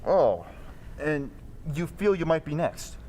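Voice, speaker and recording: A man's voice: a little high, very animated, slow pace, darkish tone. Man playing composed radio detective, echoing hall. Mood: polite, inquiring. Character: composed, inquisitive gentleman detective in a radio mystery. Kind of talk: 1940s radio drama acting. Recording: echoey hall, faint background noise.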